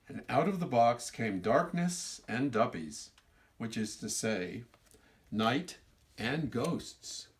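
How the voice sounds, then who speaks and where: A man's voice: mid-range voice, expressive, slow pace. A man telling a children's story as a storyteller, reading aloud expressively, stretching words for emphasis and adding explanatory asides. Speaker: storyteller reading his own book.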